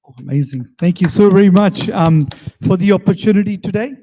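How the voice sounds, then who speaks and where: A man's voice: high voice, fairly quick, mellow, slight background noise. A man giving an earnest campaign closing statement as a council candidate, pleading for votes.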